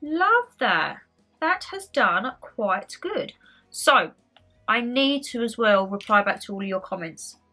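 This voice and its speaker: A woman's voice: a little high, very animated, slow, sharp tone. Woman, young adult, nasal, slightly bunged up, rambling vlog chat, faint background music. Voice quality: nasal, stuffy. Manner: chatty, rambling, repeats phrases. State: bunged up with a cold. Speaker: vlogger. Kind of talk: casual chat to camera. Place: at home, in her room.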